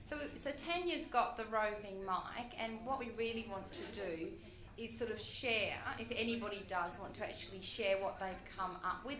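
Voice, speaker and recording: A woman facilitating a workshop session, informal and encouraging as she invites tables to share. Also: mid-range voice. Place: conference room workshop. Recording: echoey room.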